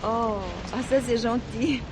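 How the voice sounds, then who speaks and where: A woman's voice: fairly high pitch, fairly even intonation, takes it slowly, noisy background. An actress playing a naive, coquettish young dancing girl with artless, colloquial speech in a stage comedy. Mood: coy, flattered. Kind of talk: French stage comedy acting.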